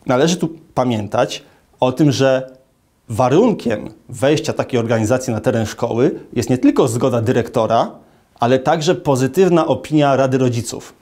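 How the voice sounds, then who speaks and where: A man in his thirties, a lawyer, giving a formal statement to camera in an office, speaking in a measured way with frequent hesitation fillers. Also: medium pitch, a little mellow. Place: indoor office with large arched window.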